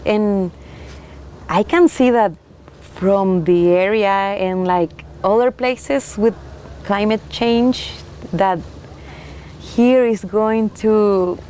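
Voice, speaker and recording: A young Chilean woman, a winemaker, speaking unhurriedly and haltingly in an oral history interview with soft laughs. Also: mid-range voice, slight background noise. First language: Spanish.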